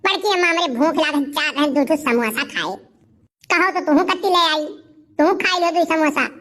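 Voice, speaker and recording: A woman's voice: high voice, normal speed, fairly bright tone. A woman voicing a cheeky, scheming village boy with an Awadhi accent, cajoling and insistent in comic cartoon dialogue. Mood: casual.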